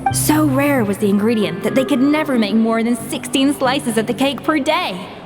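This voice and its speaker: Game chef, young woman actress: highish voice, poised, frank, exacting; music underneath. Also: in a large hall, lightly acted. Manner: poised, articulate, dryly frank. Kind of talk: video game dialogue. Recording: music behind the voice.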